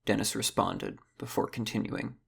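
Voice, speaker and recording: A man with a gravelly, husky voice reading scripted narration for a fantasy audio drama. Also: lowish voice, steady tone, normal speed, a bit bright.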